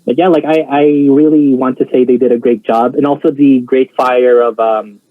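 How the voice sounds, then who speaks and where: A man's voice: mid-range voice, fairly even intonation, moderate pace, no echo. Guy chatting, lots of um and like, tinny phone-ish sound, dry. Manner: hesitant, many fillers and restarts. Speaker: co-host reacting to a show. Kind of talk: casual chat.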